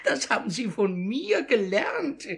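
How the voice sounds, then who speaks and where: A man's voice: extremely high pitch, sing-song, a little slow, creaky, fairly bright tone, breathy edge, heavily acted. A man playing a sly, fawning old master thief, cackling and singsong, in a 1950s German radio drama. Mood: playful, sly. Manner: theatrical, cackling, repeats words in a singsong.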